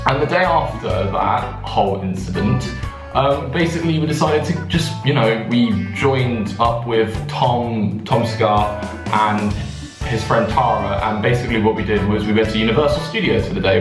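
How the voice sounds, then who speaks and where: Echoey, chatty young British man. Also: on the low side. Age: young adult. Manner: chatty, rambling, self-interrupting. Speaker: vlogger. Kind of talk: casual storytime chat to viewers. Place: indoors in a bathtub in a bathroom. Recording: echoey bathroom with music behind.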